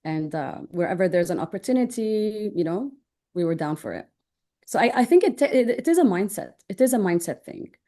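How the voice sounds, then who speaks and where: A woman's voice: mid-range voice, sing-song, a little fast, warm tone. A woman in her thirties from Jordan, answering interview questions in an upbeat, informal, chatty way. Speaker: interview guest, online business owner.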